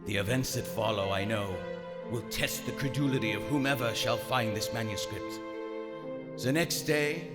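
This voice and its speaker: A man's voice: mid-range voice, lightly acted. A man playing a haughty, coldly rational German submarine commander in a live radio drama, with formal, precise, theatrical diction. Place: on stage in a large historic theater. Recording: live theater hall with reverb, music behind.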